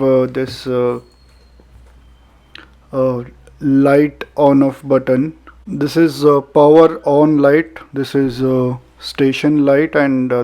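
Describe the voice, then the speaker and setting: Indian male reviewer, mellow and unhurried, hesitant delivery with lots of pauses, for a casual unboxing video. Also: mid-range voice. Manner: halting, hesitant delivery with frequent filler pauses. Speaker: product reviewer.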